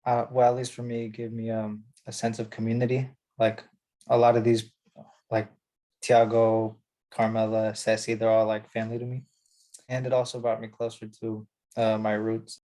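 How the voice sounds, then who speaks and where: A man's voice: fairly low pitch, completely flat delivery, normal speed, mellow. A young man and former child cast member talking casually and hesitantly about community.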